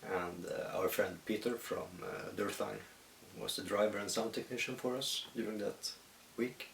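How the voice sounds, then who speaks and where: A Swedish man with a deep, rough voice muses aloud in English, stopping often to find his words. The mic sits a short way off, so the room echoes around him. A faint hiss runs underneath. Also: fairly even intonation, a bit bright, far from the mic. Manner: halting, thoughtful, many pauses. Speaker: musician. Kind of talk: reflective solo monologue. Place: at home in the countryside.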